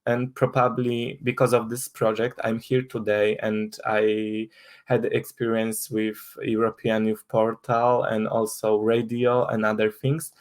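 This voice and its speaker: A man's voice: fairly low pitch, completely flat delivery, measured pace. A young man from Poland, speaking English with a Polish accent as a guest in an interview, hesitant with many restarts and fillers. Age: young adult. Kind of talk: casual interview talk.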